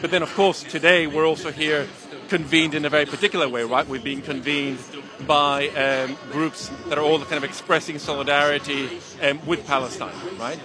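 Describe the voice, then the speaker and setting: A man's voice: fairly high pitch, steady tone, normal speed, a crowd in the background. A man from Venezuela with a Venezuelan accent, a university teacher being interviewed at an outdoor rally, talking hesitantly with many fillers. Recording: music behind, outdoor rally. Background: music playing.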